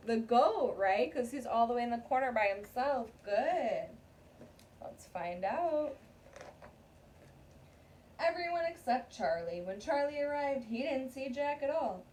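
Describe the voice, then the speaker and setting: A woman's voice: sits high, lively intonation, takes it slowly, long pauses, a little mellow. A woman teacher leading an interactive read-aloud story time for young pupils, often checking in with short questions. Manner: addresses young pupils, frequent checking questions like "okay?" and "right?". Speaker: teacher reading aloud to a class. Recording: distant mic, clear background room noise.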